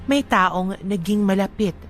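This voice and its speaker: A woman's voice: mid-range voice, a little slow, soft background music, natural voice. A Filipino woman voicing a grieving widow, reading her letter in an earnest, emotive style for a radio drama. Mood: earnest, reflective.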